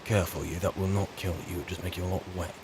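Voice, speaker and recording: A weary British servant turned adventurer, played by a man in a fantasy game roleplay. His voice sits fairly low, and he rambles wryly and haltingly, as if musing to his companions. There is faint background noise and ambience behind him. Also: steady tone, natural voice. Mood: wry grumbling. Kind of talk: in-character game roleplay monologue.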